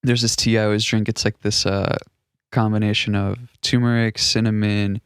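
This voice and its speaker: A young man whose first language was Russian, a DJ guest telling loose stories in a casual podcast chat and acting out quotes with big jumps in pitch. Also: flat delivery, moderate pace, a bit bright, smooth, dry recording, studio quality. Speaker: guest music producer and DJ.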